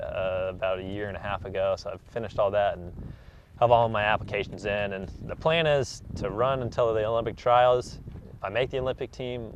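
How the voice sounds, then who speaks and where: A man's voice: lowish voice, slight background noise. A man in his twenties, an athlete giving casual, matter-of-fact explanations in an interview. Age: 20s. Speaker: elite track athlete being interviewed. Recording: dull, muffled lo-fi recording.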